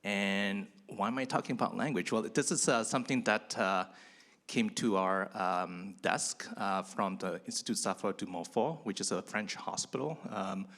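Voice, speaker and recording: A man's voice: lowish voice, normal speed, a bit bright. A man originally from Indonesia, whose first language was Indonesian, giving a chatty, self-deprecating conference talk with many fillers. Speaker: conference speaker, physician researcher. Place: conference hall.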